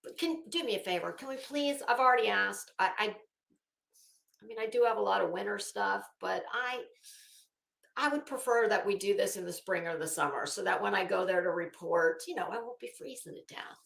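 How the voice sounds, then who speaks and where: A woman's voice: medium pitch, normal speed, creaky, a bit bright. A woman reading documents aloud dramatically, breaking off into sarcastic, brash, profane commentary as an online host. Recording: echoey room.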